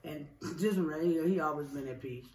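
A woman's voice: deep voice, a little fast, a little mellow, echoey room, distant mic, rough recording. A woman telling personal stories in an emphatic, profane, repetitive way in a casual street interview. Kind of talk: casual emotional personal storytelling.

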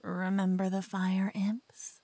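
A woman's voice: mid-range voice, completely flat delivery, takes it slowly, no echo. A woman playing a teasing whispering lover. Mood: playful teasing. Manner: playful, teasing, soft whispering. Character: cocky playful young woman, a devoted lover waking her partner. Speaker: ASMR roleplay performer. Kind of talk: whispered ASMR roleplay. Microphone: binaural mic.

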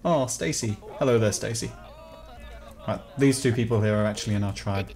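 A man's voice: fairly low pitch, a little fast, a little mellow, faint music behind. A man hosting a group roleplay game, switching between commentary to viewers and a playful, theatrically polite in-character voice. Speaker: roleplaying gamer and channel host.

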